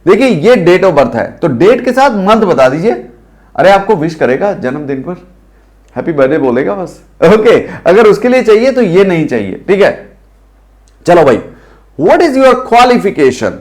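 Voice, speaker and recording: A man with an Indian accent teaching spoken English, saying English phrases slowly and urging viewers to repeat them. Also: high-pitched, big pitch swings, darkish tone. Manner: slow drilled English phrases, coaxing the viewers.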